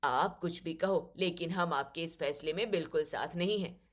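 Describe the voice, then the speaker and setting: A woman's voice: low-pitched, moderate pace, pitched below the performer's own voice. A woman playing a disapproving older aunt who complains and sneers in a family drama audio story.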